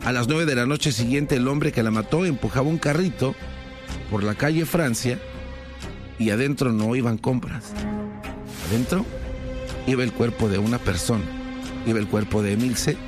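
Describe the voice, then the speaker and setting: A man's voice: medium pitch, normal speed, pauses often. A man with a Mexican accent narrating true crime in an informal, explanatory way, with conversational asides. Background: background music.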